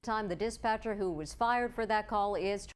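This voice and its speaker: American news lady, medium pitch, reading a scripted report. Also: normal speed, clean tone.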